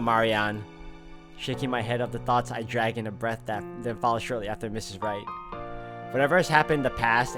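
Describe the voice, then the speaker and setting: Man, middling pitch, game player, dramatic narration, casual asides, soft music behind. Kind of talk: reading visual novel narration aloud with casual asides.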